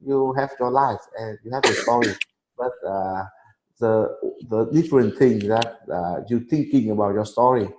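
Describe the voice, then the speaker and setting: Chatty man in his thirties, vietnamese native speaker, broken english, laughs a lot, echoey room. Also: mid-range voice. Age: 30s. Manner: chatty, laughs often, halting learner English. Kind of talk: casual conversation in English. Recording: echoey room, faint noise.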